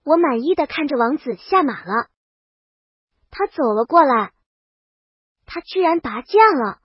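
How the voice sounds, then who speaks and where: High-pitched woman narrating a comic story. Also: expressive, unhurried, dry recording, dull audio. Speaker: audiobook narrator. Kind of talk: comic scripted first-person story narration.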